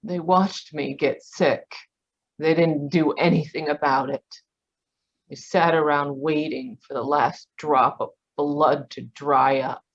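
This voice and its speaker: A woman's voice: deep voice, steady tone, slow pace. A woman playing a bitter, dying young woman in a 1940s radio drama, weak, weary and wounded, over dull video-call audio.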